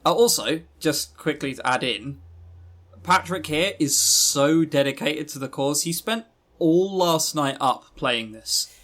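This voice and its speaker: A man's voice: a little high, a little slow, a bit bright. A man co-hosting a podcast, giving blunt, opinionated, sweary reviews in a casual chatty way. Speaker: podcast co-host.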